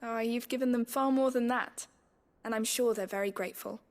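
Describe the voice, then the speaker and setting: A woman's voice: on the high side, steady tone, fairly quick, close to an everyday voice. A woman playing an earnest, courteous schoolteacher in a video game, speaking formally and precisely. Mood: grave, earnest. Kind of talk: video game dialogue acting.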